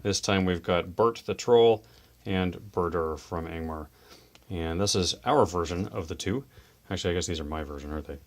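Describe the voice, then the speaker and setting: A man hosting a tabletop wargame show, chatting casually and enthusiastically about models, dice and rules. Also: deep voice. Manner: chatty, enthusiastic, quick asides. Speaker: host of a tabletop wargame channel.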